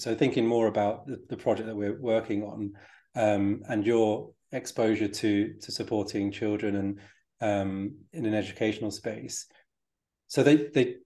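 A man's voice: on the low side, darkish tone. A man hosting a podcast interview who asks questions hesitantly, with many ums and restarts. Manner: hesitant, fills pauses with ums, restarts sentences. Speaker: podcast host.

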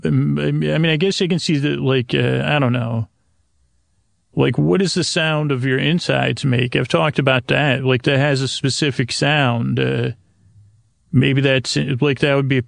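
Male sleep-podcast host, gravelly and halting, wandering through whimsical tangents in a dry, close recording. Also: medium pitch, no room sound. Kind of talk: rambling, improvised bedtime podcast monologue.

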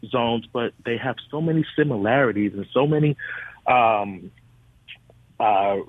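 A man's voice: medium pitch, a little slow, no echo. A man giving a spontaneous, informal interview over the telephone as a guest, feeling his way through his answers. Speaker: theater education director, interview guest. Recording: dull, noisy phone line.

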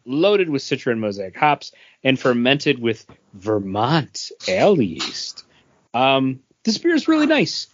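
A man's voice: mid-range voice, dry recording. A man hosting a podcast in a casual, conversational style. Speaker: podcast host. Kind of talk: casual chat. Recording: dull lo-fi, dry close sound.

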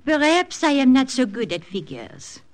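A woman's voice: high voice, very animated, moderate pace. An actress playing a teasing, seductive woman in a 1940s radio drama. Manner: coy, teasing, sprinkles French phrases.

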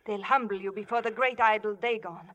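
Actress as ancient temptress, mid-range female voice, intense, sharp-edged, passionate, theatrical 1950s radio acting. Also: medium pitch, steady tone, fairly quick, lightly acted, poor audio. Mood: pleading. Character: passionate seductress, pleading lover, imperious and jealous.

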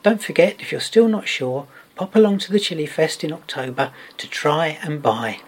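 A man's voice: a little high, animated, moderate pace, slightly rough, rough recording. A man reading a scripted sponsor message.

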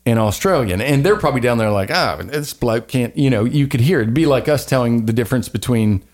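A man's voice: medium pitch, animated, a little fast, a little mellow. Earnest rambling man, faint Southern accent. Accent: light Southern American. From American South. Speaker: podcast co-host. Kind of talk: casual chat and spoken prayer.

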